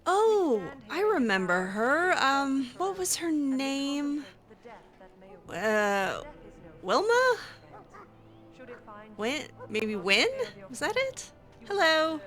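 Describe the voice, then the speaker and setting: A woman's voice: high voice, big pitch swings, extremely slow, long pauses, fairly bright tone. A woman improvising casual in-character dialogue as she plays a role-playing game, chatty and hesitant with a lightly sardonic edge. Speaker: gamer role-playing her character aloud.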